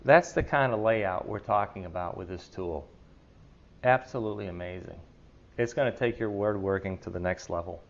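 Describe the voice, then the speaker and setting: A man's voice: on the low side, moderate pace, lo-fi sound, a little hiss or hum. A middle-aged man hosting a casual woodworking video, chatty and enthusiastic as he demonstrates a tool in his kitchen. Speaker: woodworking channel host. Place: home kitchen, indoors. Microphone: lapel mic.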